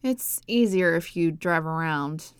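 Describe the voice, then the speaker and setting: A woman voicing a helpful, matter-of-fact office receptionist in an audiobook, giving measured instructions. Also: low-pitched, clean tone, no echo, pitched above the performer's own voice. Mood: helpful, instructive.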